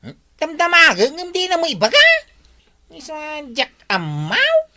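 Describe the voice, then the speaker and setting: A woman's voice: high-pitched, wildly swooping pitch, a little slow, bright, forceful tone, heavily acted. A woman voicing a nosy, cheeky young tricycle driver with an exaggerated comic delivery in an Ilocano drama. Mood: defensive, exclaiming. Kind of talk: Ilocano radio-style comedy drama acting.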